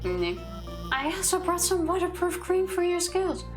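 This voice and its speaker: A woman's voice: extremely high pitch, very animated, moderate pace, over music, pitched above the performer's own voice. A woman voicing a nervous, bashful, lovestruck female scientist in a video game, stammering and hesitant. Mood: nervous, eager.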